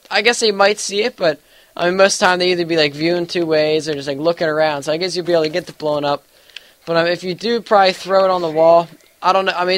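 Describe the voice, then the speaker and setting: A young man with a stuffy, allergy-congested voice talks through gameplay in a loose, rambling way. His voice sits a little high for a man, and he keeps hedging with fillers as he goes. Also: fairly bright tone. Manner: rambling, full of fillers and hedges. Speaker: gaming YouTuber. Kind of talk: casual gameplay commentary.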